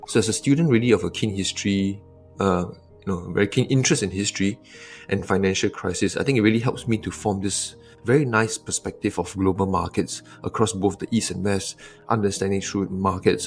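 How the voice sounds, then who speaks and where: A man from Singapore, answering interview questions thoughtfully, often restarting and adding tag questions. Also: sits low. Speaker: investor guest.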